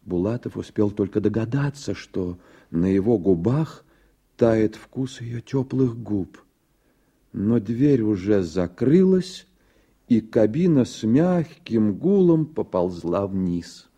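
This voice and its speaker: A man narrating a literary radio drama in a measured, reflective manner. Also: fairly low pitch, lively intonation, warm tone, husky, dull audio.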